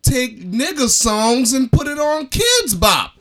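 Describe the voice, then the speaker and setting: A man's voice: extremely high pitch, sing-song, slow pace, background noise. A man co-hosting a comedy podcast in casual banter, profane and slangy, given to ranting.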